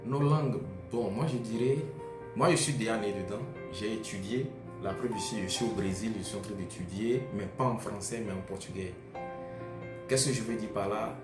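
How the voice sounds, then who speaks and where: A young male student with a fairly low voice speaks rhetorically, asking himself questions and then answering them. He is recorded in an echoey room, with music clearly audible. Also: normal speed.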